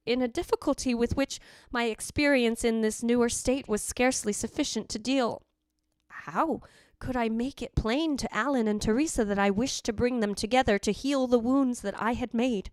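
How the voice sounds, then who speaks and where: A woman's voice: on the high side, darkish tone, dry recording, studio quality, close to an everyday voice. A woman playing the ghost of a remorseful, tender dead wife, narrating in lyrical, formal, theatrical old-time radio style.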